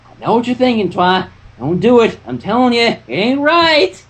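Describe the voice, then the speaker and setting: A protective, plain-spoken country pony as a woman reader plays her, urgent and folksy. The voice is husky and pushed up high, with animated swings, as in a cartoon fan reading. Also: moderate pace. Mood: warning.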